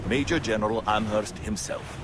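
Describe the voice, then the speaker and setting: An actor playing a courteous, formal eighteenth-century Royal Navy captain in a video game. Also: male voice, lowish voice, moderate pace, noisy background, natural voice. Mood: courteous, measured. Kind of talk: dramatic video game acting. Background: ship at sea.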